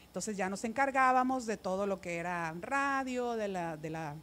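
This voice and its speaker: A middle-aged woman with a northern Mexican accent, telling stories in a casual, animated, expressive way into a handheld microphone. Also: mid-range voice, normal speed. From Ciudad Obregón, Mexico. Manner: animated, expressive, often quoting others. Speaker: interview guest, radio announcer. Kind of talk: casual chatty storytelling. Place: small decorated studio. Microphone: handheld microphone held close.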